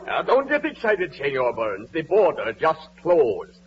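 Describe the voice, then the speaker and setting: An actor playing a dutiful attendant or guard in a 1940s radio comedy. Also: male voice, fairly high pitch, huge pitch swings, measured pace. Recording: muffled, hissy vintage radio broadcast.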